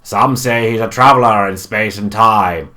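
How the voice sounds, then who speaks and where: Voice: medium pitch, pushed and bright. A performer as a showy, blokey motoring show presenter. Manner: declamatory, drawn-out announcements.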